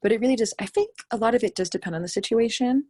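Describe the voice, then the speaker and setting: A woman's voice: fairly high pitch, rapid-fire, a little mellow, husky. A young woman hosting a casual podcast chat, talking in a thoughtful, earnest way. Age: young adult.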